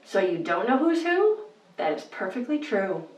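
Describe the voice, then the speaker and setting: A woman's voice: medium pitch, very animated, normal speed, a little mellow, highs cut off, natural voice. A woman voicing a slick male salesman creature in a children's storybook, with grand, persuasive patter. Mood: sly, reassuring. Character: slick, peppy male fix-it salesman creature, fast-talking swindler. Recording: roomy, distant microphone.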